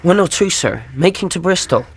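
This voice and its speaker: An actor playing a polite, eager twelve-year-old innkeeper's boy, deferential and quick to answer, in a radio drama. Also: high voice, animated, a little hiss or hum.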